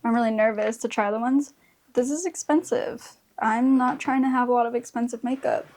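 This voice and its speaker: A woman's voice: fairly high pitch, fairly even intonation, fairly quick, darkish tone. A woman in her mid twenties chatting casually through a makeup tutorial in informal, slangy speech. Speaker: beauty vlogger.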